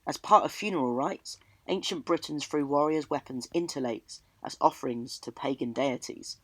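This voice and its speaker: A man's voice: a little high, normal speed, no echo. A man telling stories as a travel vlogger and presenter, with a theatrical delivery, recorded on location. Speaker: storyteller and presenter. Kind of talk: storytelling travel vlog, part narration, part talk on location. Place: outdoors in the countryside.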